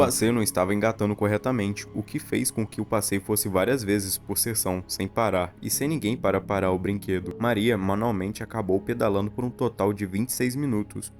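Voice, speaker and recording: A man's voice: lowish voice, a little mellow. A man with a Brazilian accent, telling a horror story as scripted narration for an explainer video.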